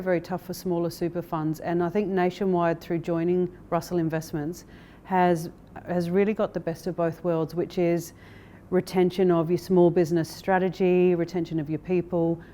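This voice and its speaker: Aussie woman exec in an interview, very mellow voice, measured, bit of low rumble noise behind. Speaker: interviewee, managing director of an investment firm. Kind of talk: corporate interview.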